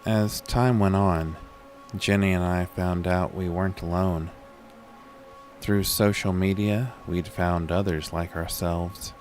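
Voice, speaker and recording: A man's voice: deep voice, steady tone, slow pace, darkish tone, faint music behind. A man playing a haunted, weary and uneasy narrator in a scripted horror audio drama told in the first person. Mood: somber.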